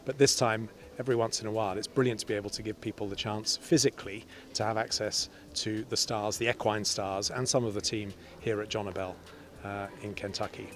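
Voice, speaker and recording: A man's voice: fairly low pitch, steady tone, brisk. A man in his fifties giving an interview answer as a company representative, outdoors into a handheld microphone. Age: 50s. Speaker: stud farm representative being interviewed. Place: outdoors in a farm courtyard. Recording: clear outdoor background noise.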